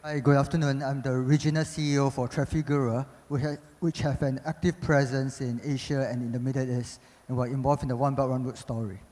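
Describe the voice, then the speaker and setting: A man introduces himself politely and matter-of-factly in a mid-pitched voice. The sound carries a long hall reverb. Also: level tone, brisk, few pauses, mellow. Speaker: panelist, regional executive. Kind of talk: panel self-introduction.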